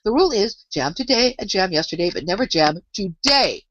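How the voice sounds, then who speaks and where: A woman's voice: mid-range voice, sing-song, brisk, a bit bright, dull audio, slight background noise, voice pushed up. A woman voicing a scatty, imperious old queen in an emphatic, dotty manner for a storybook reading. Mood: brisk, insistent. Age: older. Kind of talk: storybook character voice.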